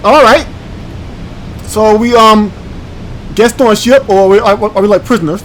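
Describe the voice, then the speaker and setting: High-pitched man doing casual game commentary. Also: a bit bright, slight background noise, rough recording. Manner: informal, halting, thinking aloud. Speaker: gaming video host. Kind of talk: casual let's play commentary, reading on-screen text aloud.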